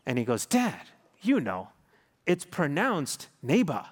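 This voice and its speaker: A man's voice: on the high side, wildly swooping pitch, slow, slightly rough. A man in his thirties, a pastor giving a sermon and closing prayer, telling a family story in an earnest, pastoral way. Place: large church sanctuary. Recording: long hall reverb.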